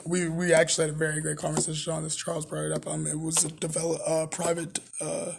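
A man's voice: fairly high pitch, monotone, fast, creaky, breathy edge. An American man conducting a casual interview, asking his questions in a hesitant, apologetic way.

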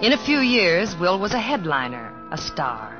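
Devoted, gently nagging wife, old radio drama, dull recording. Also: female voice, lowish voice, big pitch swings, normal speed. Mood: fond reminiscing. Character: devoted wife of a comedian, earnest, gently nagging, narrating their life. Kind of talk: 1950s radio drama narration and acting. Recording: dull vintage radio recording, faint music.

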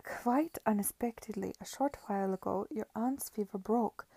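A woman voicing an excitable male doctor in a period audiobook. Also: medium pitch, moderate pace, gravelly, slightly rough, no echo. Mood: excited.

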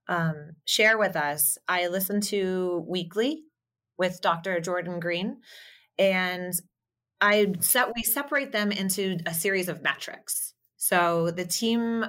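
A woman speech-language pathologist talks a guest through her work in a measured, explanatory way. Her voice sits low but has a bright, forward edge. She pauses on fillers and restarts her sentences now and then. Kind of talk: conversational interview answers.

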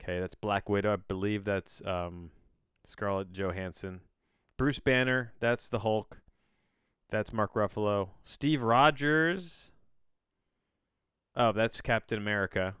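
A man hosting a solo podcast, chatting in a rambling, halting way, often backtracking mid-sentence with a bemused, self-deprecating manner. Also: lowish voice, a bit bright, clear, resonant tone, no room sound, clean studio sound. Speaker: podcast host.

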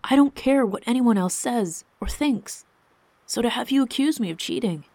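A woman's voice: on the high side, animated, moderate pace, mellow, no room sound, pitched above the performer's own voice. A woman voicing a proud, wounded husband and king in a storybook, intense and indignant. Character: proud, wounded husband and king, cool but hot-tempered.

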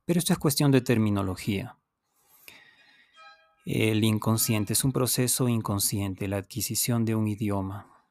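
A man who is a native Spanish speaker hosts an instructional podcast as a teacher, explaining deliberately with pauses and repetition and speaking directly to the listener. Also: lowish voice, darkish tone, soft background music. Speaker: podcast host and language teacher. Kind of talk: unscripted instructional podcast monologue.

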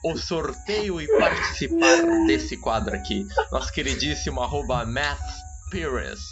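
A man's voice: medium pitch, big pitch swings, measured pace, over loud music, low-quality recording. A man hosting a comedy podcast, announcing the opening in a playful sing-song. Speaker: podcast host.

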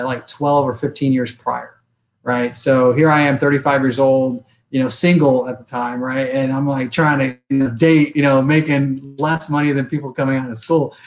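A middle-aged man hosts a relaxed interview, candid and a bit rambling as he shares his own experiences. His voice sits at an ordinary pitch, plainly conversational. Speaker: podcast host. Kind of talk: casual interview chat.

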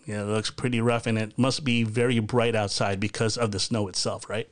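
A man's voice: on the low side, level tone, a little fast. A man hosting a casual live video podcast from his home over a video call, chatty and rambling with lots of fillers and restarts. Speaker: podcast host. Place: bedroom at home.

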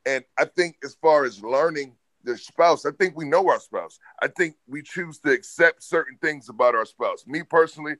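Loud, deep-voiced man arguing with authority. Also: normal speed, hardly any pauses, dry recording. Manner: loud, boisterous, talks with authority. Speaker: podcast co-host. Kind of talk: casual debate chat.

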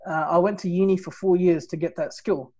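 A man in his thirties, originally from Nepal, talks as a guest on an interview show. His voice sits a little high for a man, and he keeps tossing in "right?" and "like, you know" as he goes. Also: steady tone, a little fast, dark tone. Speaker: podcast guest. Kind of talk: casual interview, telling his life story.

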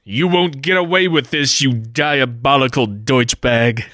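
An actor playing a defiant, wisecracking adventurer sidekick in a comic 1940s-style radio play. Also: male voice, medium pitch, unhurried, pushed and bright, no room sound, clean studio sound. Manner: quippy, defiant.

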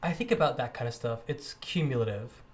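Guy in his twenties cohosting a chatty podcast, teasing quick jabs, average pitch. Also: expressive. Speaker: podcast co-host. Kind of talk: casual podcast chat.

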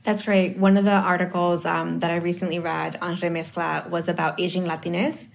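A woman's voice: lowish voice, level tone, normal speed, gravelly. A woman from the Dominican Republic talking about marketing in a casual conversation, thinking aloud with many fillers and restarts. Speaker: marketing guest.

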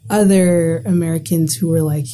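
A woman's voice: low-pitched, fairly even intonation, moderate pace, mellow, a little hiss or hum. A young woman from the Bahamas, a college student guest, talking casually and filling her speech with "like". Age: young adult. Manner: chatty, heavy use of "like". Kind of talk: casual conversation.

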